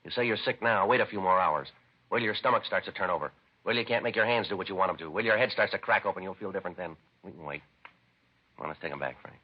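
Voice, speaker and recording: Rapid-fire, deadpan, husky police detective. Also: male voice, low-pitched. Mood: stern. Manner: clipped, deadpan, matter-of-fact. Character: terse, unyielding, businesslike male police detective. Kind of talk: 1950s radio police drama acting. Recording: dull, lo-fi vintage radio recording.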